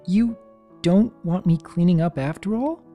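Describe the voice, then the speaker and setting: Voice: mid-range voice, a little slow, muffled recording, faint music behind, pitched above the performer's own voice, natural voice. A storyteller voicing a small young male dragon, earnest, nervous and affectionate, with a hesitant manner. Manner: stammering, hesitant.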